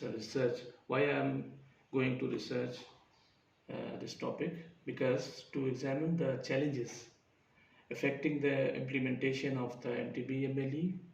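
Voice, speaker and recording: A man presenting his research findings, halting often with fillers and correcting himself mid-phrase. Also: medium pitch, vocal fry, a little hiss or hum. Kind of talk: academic presentation. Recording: roomy, distant, dull lo-fi sound.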